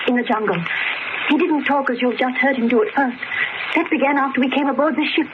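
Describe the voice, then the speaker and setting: A woman's voice: high voice, fast talker, noisy background, natural voice. Woman acting an anxious wife, muffled old radio. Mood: grave, uneasy. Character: grave, anxious wife of a maddened man. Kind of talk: 1930s-40s radio horror acting.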